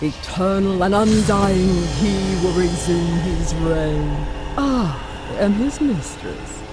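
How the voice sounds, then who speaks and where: A woman's voice: fairly low pitch, takes it slowly. An actress playing a haughty, fanatical witch queen in a video game, regal, ominous and theatrical. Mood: exultant, ominous. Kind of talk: video game cutscene acting. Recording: music under the voice.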